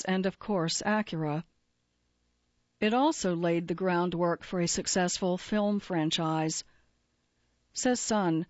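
A woman narrating a magazine feature article in an even, measured reading style. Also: medium pitch, dry recording, dull audio.

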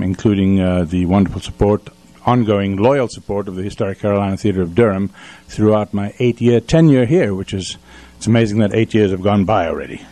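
A man's voice: lowish voice, warm tone. A man hosting a radio show in casual chat, with a folksy turn of phrase, speaking into a studio microphone.

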